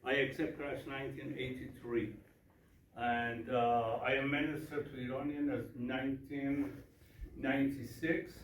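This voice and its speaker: Slow-talking Persian-accented man, echoey room. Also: medium pitch, level tone. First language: Farsi. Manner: broken English, repeats questions to the audience. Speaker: minister. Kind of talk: workshop talk with audience questions. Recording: echoey room from a distance, faint noise.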